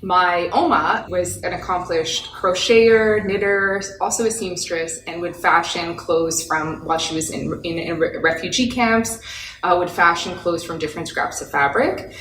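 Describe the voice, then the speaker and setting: A woman's voice: on the low side, normal speed, a little hiss or hum. Thoughtful woman speaking from across a room. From Canada. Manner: thoughtful, gentle, with small hesitations. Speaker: guest artist. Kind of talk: reflective interview answers. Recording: roomy, distant from the mic.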